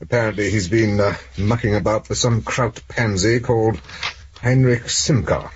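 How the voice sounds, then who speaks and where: A man's voice: fairly low pitch, normal speed, lightly acted. A man playing a brusque, sardonic British police superintendent in a radio drama. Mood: dry briefing. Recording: muffled radio recording with faint noise.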